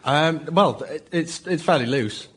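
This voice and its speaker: A man's voice: on the high side, a little slow. Plain-spoken man, noisy studio. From Hull, England. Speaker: member of the public pitching an idea. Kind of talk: informal comic pitch. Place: radio studio with live audience.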